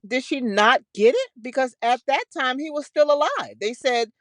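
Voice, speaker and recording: Lively higher-pitched woman co-host. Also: normal speed, dry recording, studio quality. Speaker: podcast co-host. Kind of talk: casual discussion.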